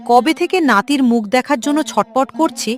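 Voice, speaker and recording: A woman's voice: sits high, normal speed, faint music behind, lightly acted. A woman voicing a stern, insistent old mother-in-law in a melodramatic cartoon story. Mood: scornful, bitter. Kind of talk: Bengali cartoon story dialogue.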